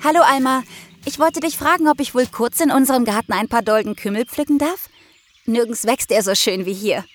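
An actress playing an earnest, hesitant young herbalist's apprentice in an audio drama, speaking tentatively. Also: female voice, fairly high pitch, a little fast, close to an everyday voice. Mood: timid, hopeful. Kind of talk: German audio drama acting.

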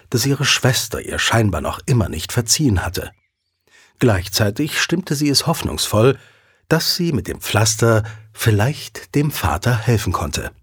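A man's voice: on the low side, breathy edge, dry recording. A man narrating a scripted audio drama as a storyteller.

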